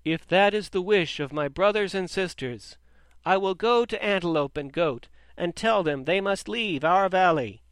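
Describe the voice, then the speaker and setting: A man's voice: high voice, normal speed, a bit bright, smooth, no room sound, voice pushed up. A man voicing a proud, stern male sheep who speaks firmly. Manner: firm, declaring.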